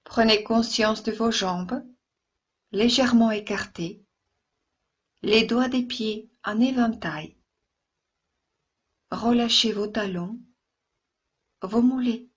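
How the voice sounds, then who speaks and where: A woman yoga instructor leading a guided relaxation meditation in a soft, soothing, gentle way, with long pauses between phrases. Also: a little high, slightly rough, muffled recording.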